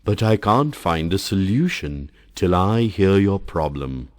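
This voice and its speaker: Male reader doing a calm sun figure, low slow gentle tone. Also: no echo, studio quality. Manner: gentle, reasoning.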